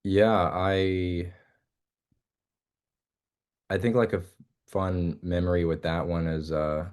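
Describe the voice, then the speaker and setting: A man's voice: sits low, level tone, slow, a little mellow, clear, resonant tone. A young man, a musician guest, chatting casually in an interview and rambling with many fillers and restarts.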